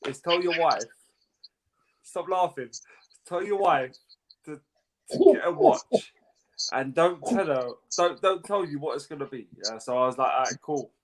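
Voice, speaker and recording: A man co-hosting a casual chat, telling an animated, slangy story. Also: on the high side, a little slow, pauses often, low-quality recording. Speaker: co-host.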